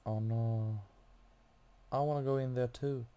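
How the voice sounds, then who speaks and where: Slow, halting male gamer. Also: mid-range voice, steady tone, mellow, clear, resonant tone. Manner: halting, thinking aloud. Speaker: video game player making a playthrough. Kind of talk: casual game commentary.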